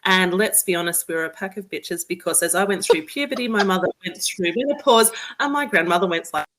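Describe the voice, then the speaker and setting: A woman in her forties with an Australian accent and a slightly low voice. She speaks as a guest in a casual, candid conversation. Her delivery is wry and joking. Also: brisk, a bit bright. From New South Wales, Australia. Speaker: interview guest, romance author.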